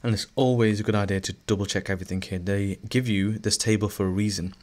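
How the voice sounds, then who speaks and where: A male teacher narrating a screen-recorded lesson, a little hoarse as he is losing his voice, talking through each step with fillers and restarts. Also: lowish voice, fast talker. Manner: relaxed, thinking aloud with fillers and restarts.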